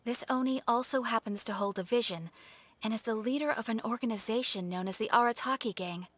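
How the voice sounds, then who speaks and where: Composed, high-voiced formal official. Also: female voice, a bit bright, dry recording. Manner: formal, measured, polite. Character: composed, courteous female official briefing an adventurer. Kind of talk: video game acting. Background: music.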